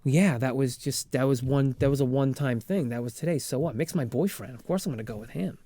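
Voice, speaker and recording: A man's voice: medium pitch, fast, mellow, no room sound, close to an everyday voice. A man playing a dismissive, matter-of-fact teenage girl in a film recap, in an everyday voice.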